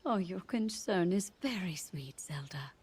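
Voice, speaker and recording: An actress playing a gentle, perceptive queen in a game cutscene, speaking in a soft, breathy near-whisper. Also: lowish voice, lively intonation, a little slow, heavily acted. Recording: game cutscene over music.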